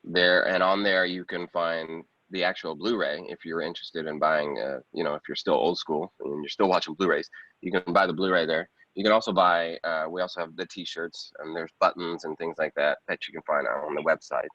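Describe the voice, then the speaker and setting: A man's voice: low-pitched, fairly even intonation, normal speed, pushed and bright. A man giving a casual interview as an independent filmmaker, rambling conversationally and often repeating phrases. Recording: dull, roomy lo-fi sound.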